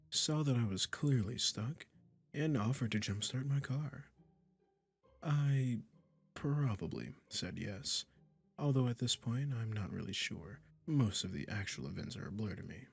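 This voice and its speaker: Man acting a slow, husky, possessive male witch. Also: medium pitch, exaggerated voice. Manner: soft coaxing, condescending endearments, controlling, deliberate. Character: possessive yandere male witch, sweetly menacing lover. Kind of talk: intimate scripted roleplay audio drama.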